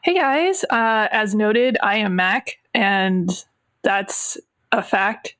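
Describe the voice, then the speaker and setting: A woman with a medium-pitched voice speaks as a player in a tabletop game. Her delivery is casual and conversational as she describes her character. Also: very slow, fairly bright tone.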